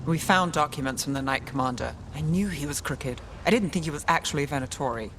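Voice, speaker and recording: A man playing an analytical, earnest investigator in a fantasy video game, measured and thoughtful. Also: on the high side, fairly bright tone, a little hiss or hum. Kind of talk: dramatic video game acting. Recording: faint music behind.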